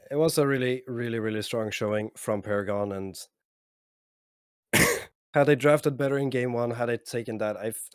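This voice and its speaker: A man casting esports, giving a casual, halting post-game analysis full of fillers. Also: fairly low pitch, dry recording, studio quality. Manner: hesitant, many fillers and restarts, measured. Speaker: esports caster.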